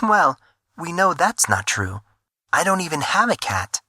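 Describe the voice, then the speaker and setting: A man's voice: fairly high pitch, sing-song, a little slow, sharp tone, breathy edge, dry recording, studio quality, voice pushed up, heavily acted. A man voicing an affectionate, teasing woman with a sweet manner in an audio story. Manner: sweet, forced cheer.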